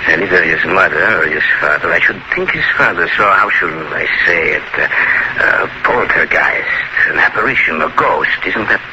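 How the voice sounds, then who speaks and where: An actor playing a grave doctor who narrates a 1940s radio horror drama in a formal, measured, probing manner. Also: male voice, low voice, animated. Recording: scratchy, muffled 1940s radio recording, heavy noise.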